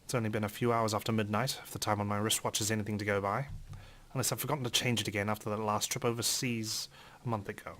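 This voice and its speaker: A man reading a visual novel aloud as theatrical first-person narration, in character as an indignant gentleman. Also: fairly low pitch, little pitch movement, fast. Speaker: let's player reading a game aloud.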